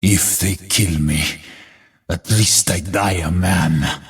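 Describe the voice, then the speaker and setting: An actor playing a stoic, battle-scarred warrior in a video game, solemn and defiant, with a rough-edged husky voice and measured, declamatory delivery. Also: male voice, deep voice, fairly even intonation, soft background music, full character voice. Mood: grim resolve. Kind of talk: heroic video game voice acting.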